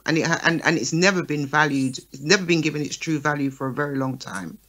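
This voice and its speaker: A woman's voice: sits low, quick pace. A woman co-hosting a radio talk show, making her points and often checking in with "right?" and "okay?". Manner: lively, checks in with listeners by asking right and okay. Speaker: talk show co-host, promoter.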